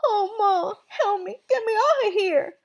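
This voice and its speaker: Storybook big brother, acted by a woman: squealing high pitch, mouth-full mumble, frantic crybaby pleading. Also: unhurried. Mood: tearful begging. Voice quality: mumbling, mouth-obstructed speech. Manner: pleading, crying. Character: panicked older boy with lips frozen stuck.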